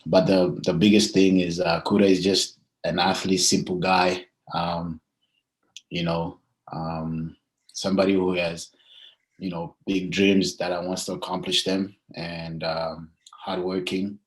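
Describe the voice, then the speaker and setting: A man's voice: low-pitched, flat delivery, low-quality recording. A young man, chatting casually and hesitantly as an athlete being interviewed. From Zimbabwe and South Africa. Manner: hesitant, frequent pauses and "you know".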